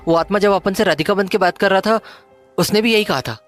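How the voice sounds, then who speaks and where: An actor playing an excitable, easily confused young sidekick who banters colloquially in a horror story. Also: male voice, high-pitched, fairly quick. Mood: curious, excitable. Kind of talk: animated horror story dialogue. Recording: faint music behind the voice.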